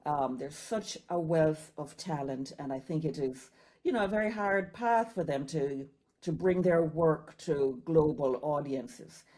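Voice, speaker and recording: A woman's voice: sits low, unhurried, dark tone. A woman from Jamaica, a film distributor, talking earnestly over a video call with many ums and restarts. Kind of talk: casual video-call chat.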